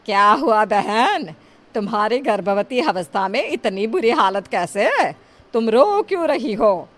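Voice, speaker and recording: A woman playing a protective, stern, motherly elder of a transgender community in an animated story. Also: a little high, unhurried, a little hiss or hum. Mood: concerned.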